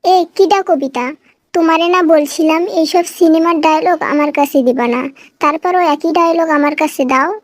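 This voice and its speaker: A woman's voice: very high voice, moderate pace, no room sound. A woman playing an aggrieved, scolding young wife in a comic cartoon, with a rural Bangladeshi accent. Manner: scolding, wailing, complaining. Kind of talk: melodramatic comic cartoon dialogue.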